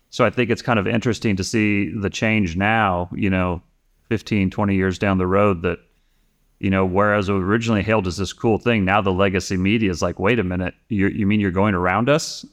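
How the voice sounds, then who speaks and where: A man with a low, deep voice talks as a guest on a podcast. He rambles a little, stopping and restarting his sentences in a relaxed conversational way. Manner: rambling, many restarts and fillers. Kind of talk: casual conversation.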